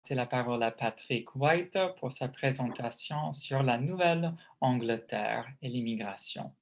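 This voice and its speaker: A panel moderator with a mid-range voice hands the floor to the next speaker in a polite, formal way. The delivery is steady and courteous. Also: medium pitch, unhurried. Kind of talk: formal introduction.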